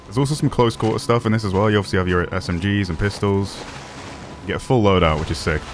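Deep-voiced young man streaming a game. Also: quick pace, a little hiss or hum. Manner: excited asides, relaxed chat. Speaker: gaming streamer. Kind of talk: casual gameplay commentary.

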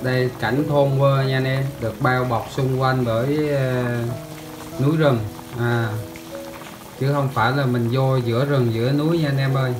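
A man with a southern Vietnamese accent talks as a casual vlogger. He addresses his viewers in a familiar, chatty way, and music plays clearly behind his voice. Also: medium pitch, steady tone, normal speed, poor audio. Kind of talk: casual vlog narration.

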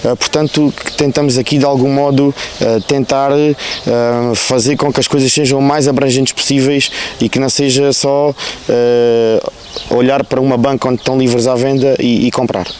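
A man's voice: mid-range voice, little pitch movement, moderate pace, darkish tone, slight background noise. A man in his thirties with a European Portuguese accent, a town councillor answering questions outdoors in a park with many fillers and restarts, into a handheld mic. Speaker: councillor for culture. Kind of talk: outdoor news interview. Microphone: handheld reporter mic.

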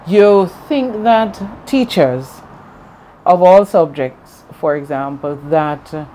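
Soft-toned male interviewer. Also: a little high, lively intonation, slow, slight background noise, poor audio. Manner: brief prompts and backchannels. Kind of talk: interview questions.

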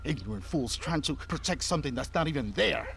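An actor playing a proud, bitter old man in a video game, deliberate and contemptuous. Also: a little high, expressive, vocal fry, a bit bright. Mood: accusing. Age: older. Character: proud, bitter, scornful old man defending his family. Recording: faint outdoor background noise.

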